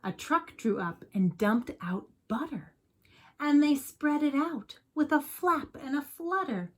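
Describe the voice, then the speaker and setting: A woman's voice: high-pitched, expressive, slow pace. A woman reading a picture book aloud like a teacher, expressively, with chatty asides and questions to young listeners.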